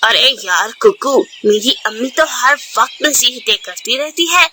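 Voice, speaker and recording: A woman's voice: a little high, animated, measured pace, pushed and bright, slight background noise. A woman voicing a defiant, scheming young male bird troublemaker in a cartoon.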